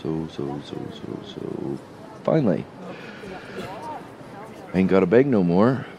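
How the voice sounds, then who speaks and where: An actor playing an insinuating, falsely friendly space-station policeman, drawling and halting as he needles, in an audio drama. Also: low-pitched, sing-song, muffled recording, noisy background, exaggerated voice.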